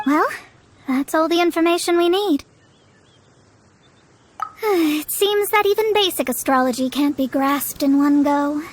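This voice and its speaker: A woman's voice: high-pitched, unhurried, pauses often, slight background noise. A woman voicing a proud, learned young female astrologist in a video game, speaking in formal, haughty, theatrical phrases. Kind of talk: scripted video game voice acting. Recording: studio voice over game music.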